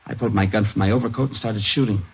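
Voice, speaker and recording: A man's voice: lowish voice, fairly even intonation, very fast, gravelly, breathy edge, exaggerated voice. A man acting in an old radio crime drama as a shaken husband giving his account to police. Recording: dull old radio recording.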